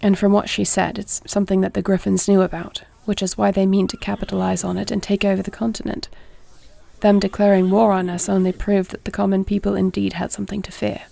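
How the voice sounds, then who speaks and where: Grave, creaky-voiced warrior queen, acted by a woman. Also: medium pitch, flat delivery, dark tone. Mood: serious, thoughtful. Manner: measured, earnest, formal phrasing. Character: duty-bound warrior dragon queen, tender but grave wife. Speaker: voice actor. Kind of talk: intimate audio roleplay acting.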